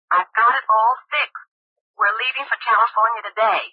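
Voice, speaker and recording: A woman's voice: on the high side, normal speed, slightly rough, no echo. An actress playing a bossy, impatient female crook who gives curt, slangy orders in an old-time radio drama. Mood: scheming, impatient. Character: hard-boiled, bossy, impatient female crook, scornful sister. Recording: dull, band-limited old radio recording.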